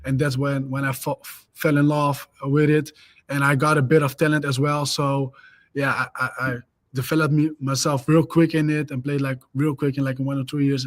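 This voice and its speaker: A man's voice: medium pitch, no echo. A young man with a Dutch accent, a native Dutch speaker, talking eagerly and energetically as a podcast guest. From Netherlands. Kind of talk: casual interview chat.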